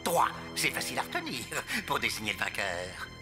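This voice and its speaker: An actor playing a scheming, bullying crook of a boss in a cartoon, sly and domineering, with music behind. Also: male voice, on the low side, wildly swooping pitch, fairly quick. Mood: smug. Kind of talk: French cartoon dub acting.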